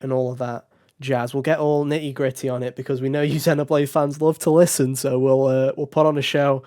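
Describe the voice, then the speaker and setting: A man with a raspy, slightly hoarse voice hosts a casual gaming podcast, joking and rambling. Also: mid-range voice, little pitch movement, a little fast, mellow. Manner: joking, self-mocking, rambling. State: hoarse, recovering from covid. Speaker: podcast host.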